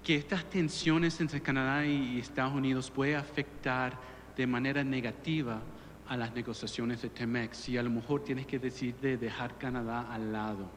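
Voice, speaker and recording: A man reporting from abroad, Spanish not his first language, asks his question haltingly, tripping over words and restarting. His mid-pitched voice carries the long reverb of a large hall with faint noise behind. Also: fairly even intonation. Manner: hesitant, stumbling over words and apologizing. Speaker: foreign correspondent.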